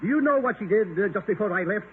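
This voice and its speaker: A man's voice: high-pitched, expressive, quick pace. An actor playing an indignant, pompous college board chairman in a radio comedy-drama, stiff and scolding in manner. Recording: tinny 1950 radio recording, faint noise.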